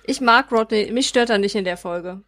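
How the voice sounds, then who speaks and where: A woman's voice: mid-range voice, normal speed, fairly bright tone, no echo, studio quality. A woman co-hosting a casual podcast discussion, arguing her point with frequent restarts. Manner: argumentative, restarts often, says "weißt du". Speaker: podcast co-host.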